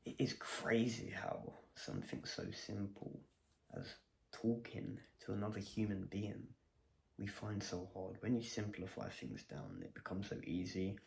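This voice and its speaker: A man's voice: on the low side, vocal fry, a little hiss or hum, poor audio. A man vlogging a personal video diary, talking in a reflective, rambling way and questioning himself as he goes.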